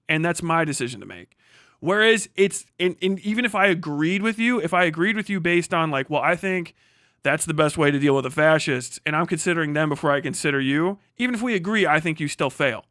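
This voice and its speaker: A man's voice: on the high side, fairly bright tone, dry recording, studio quality. A man hosting a livestream in casual chat, rambling along and swearing freely. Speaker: livestream host.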